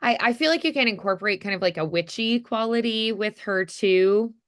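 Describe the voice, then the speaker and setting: A woman's voice: mid-range voice, a little fast. A woman coaching voice acting in a casual one-on-one lesson, thinking aloud and encouraging as she goes.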